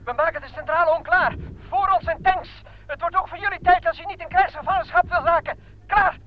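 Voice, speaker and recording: An actor playing a soldier urgently reporting from the front in a war radio play, terse and military. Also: male voice, very high-pitched, fairly quick. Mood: alarmed. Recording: muffled old radio recording, faint noise.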